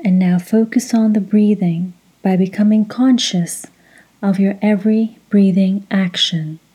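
Woman doing guided meditation, really soft breathy voice, slow and measured w long pauses. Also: medium pitch, steady tone.

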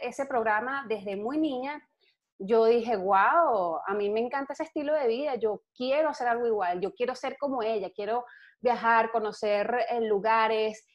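Outgoing young Venezuelan woman, lo-fi. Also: fairly high pitch. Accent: Venezuelan Spanish. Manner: outgoing, animated storytelling, laughs easily. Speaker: guest. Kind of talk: casual interview chat. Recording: dull lo-fi sound.

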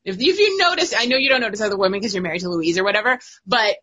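Bright, lively, sassy woman. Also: mid-range voice, very fast. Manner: lively, laughs often, sassy. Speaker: podcast co-host and writer. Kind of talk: casual chat.

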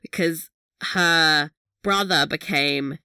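Bright, giggly woman podcast host. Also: low voice, slow pace, clear, resonant tone, no echo. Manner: chatty, giggly, swears casually. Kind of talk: casual recap chat.

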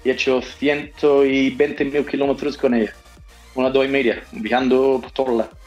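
A man with an Australian accent mixed with Andalusian, answering interview questions in a halting way and often restarting his phrases. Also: mid-range voice, flat delivery, a little mellow, highs cut off, faint music behind. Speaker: interview guest, motorcyclist.

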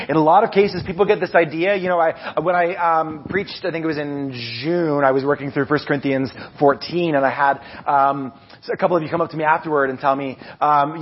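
A man with a loud voice preaching a casual, animated sermon, restarting his sentences often and poking fun at himself. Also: a little high, husky, dull audio. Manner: animated, self-deprecating, many restarts. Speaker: preacher and music leader. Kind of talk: conversational sermon.

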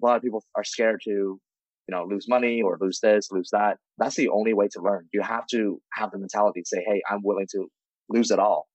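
A man in his late twenties from China, a guest entrepreneur telling his story in relaxed, candid casual chat. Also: fairly low pitch, fairly quick, no echo, poor audio.

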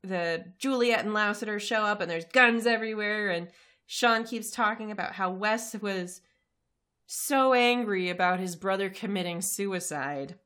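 Bright-voiced woman, casual co-host. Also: medium pitch. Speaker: podcast co-host. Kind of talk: casual episode recap chat.

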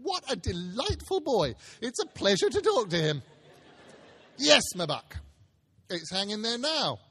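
An old miser turned joyful , acted by a male storyteller, speaks in a raised, hearty voice. He is excitable and emphatic, fond of putting on a mock-stern manner in this storybook tale. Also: high-pitched, a little slow, breathy edge, pitched above the performer's own voice, full character voice. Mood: giddy, eager. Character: old Scrooge, reformed miser, giddy, hearty, mock-growling. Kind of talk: dramatic storybook reading.

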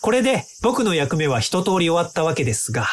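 An actor in an audio drama playing an earnest, polite young time-traveling inventor who explains things courteously. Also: male voice, a little high, sing-song, fast talker, a little hiss or hum. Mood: gentle, candid.

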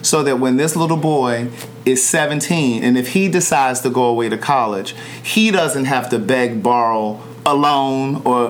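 Host, male, casual chat, animated storyteller, faint hum in the background. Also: mid-range voice. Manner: animated storyteller, acts out quoted voices. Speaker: podcast host. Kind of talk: casual conversational interview.

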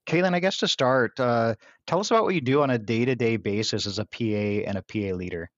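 A man's voice: medium pitch, fast, fairly bright tone, dry recording, dull audio. A man co-hosting a podcast, talking loosely and filling his sentences with "like". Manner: loose, filler-heavy, says like a lot. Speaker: podcast co-host. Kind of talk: casual podcast talk.

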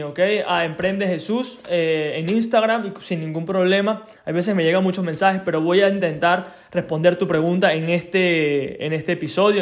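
A young man with a Venezuelan accent hosting a solo podcast, talking conversationally with frequent tag questions and pauses. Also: high voice. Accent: Venezuelan Spanish. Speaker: podcast host.

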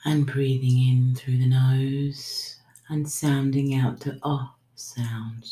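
A woman leading a guided breathing meditation, giving soothing, unhurried instructions that sometimes trail into a whisper. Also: very deep voice, level tone, dark tone. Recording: roomy, echoing sound.

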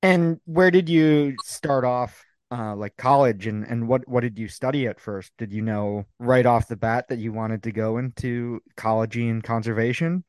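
A man's voice: medium pitch, moderate pace, few pauses, darkish tone, no echo. Man co-host, dry close sound. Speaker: podcast co-host. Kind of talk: casual interview hosting.

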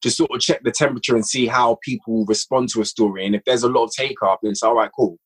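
A man's voice: mid-range voice, steady tone, fast, fairly bright tone, slightly rough. A man, a guest writer and producer of audio drama, chatting at length on a podcast and explaining his work with frequent restarts. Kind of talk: casual podcast conversation.

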